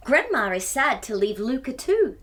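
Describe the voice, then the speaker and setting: A woman reading a story aloud to young children in an expressive, exclaiming manner, often putting questions to her listeners. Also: fairly high pitch, normal speed.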